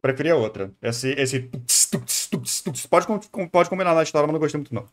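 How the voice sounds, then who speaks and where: Casting: Brazilian male streamer, very quick speech, slightly high voice, informal and rambling reaction chat. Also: animated, loud music behind the voice. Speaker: live streamer reacting to a video. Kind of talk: casual livestream chat and commentary.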